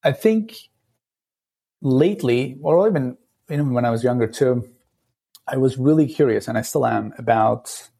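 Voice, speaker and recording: Man, Turkish accent, late 30s, gravelly, husky, thoughtful podcast guest. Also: medium pitch, normal speed, long pauses, dark tone. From Turkey. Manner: thoughtful, searching for words with many fillers. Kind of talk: casual interview talk.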